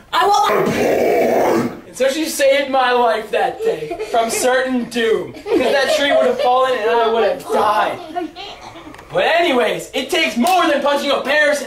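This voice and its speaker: A male storyteller with a notably high voice, heard from a distance in an echoey room. He rambles through comic tales with excitable, dramatic build-ups. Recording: roomy echo, far from the mic.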